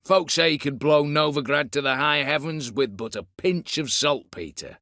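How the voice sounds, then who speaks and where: A man's voice: a little high, normal speed, sharp tone, no room sound, studio quality. An actor voicing a scheming, vengeful disinherited nobleman turned heist leader in a video game, with a colloquial, wry, conspiratorial way of talking. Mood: businesslike, explaining. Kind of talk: video game character dialogue.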